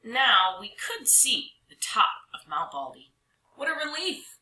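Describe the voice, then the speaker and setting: A woman reading a children's storybook aloud with expressive, dramatic narration, stretching some words and hushing others. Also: fairly high pitch, slow pace. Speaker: storybook reader. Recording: roomy echo.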